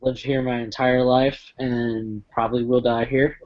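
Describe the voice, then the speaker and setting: A man's voice: mid-range voice, little pitch movement, measured pace. A man from Missouri, a podcast panel guest, chatting in an easygoing way over dull online call audio. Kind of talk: casual chat.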